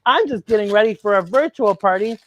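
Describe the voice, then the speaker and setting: A woman hosting a children's story time, talking and reading playfully to young kids, with exaggerated exclamations, drawn-out words and questions to the listeners. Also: mid-range voice, lively intonation, highs cut off. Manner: draws out words for emphasis. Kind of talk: playful read-aloud and chat to young children.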